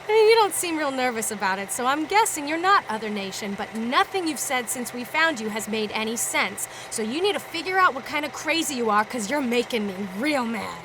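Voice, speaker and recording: A brash, impatient survivor in an audio drama, blunt and threatening. Her high voice jumps around with lively, mocking swings. The background is clearly noisy. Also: a little fast, hardly any pauses. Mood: suspicious, threatening. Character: hot-tempered, impatient, threatening female post-war scavenger.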